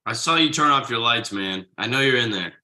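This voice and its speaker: A man playing Thomas, an earnest, frugal writer who gets excited easily, in a table read of a TV pilot script. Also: medium pitch, brisk. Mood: earnest, questioning.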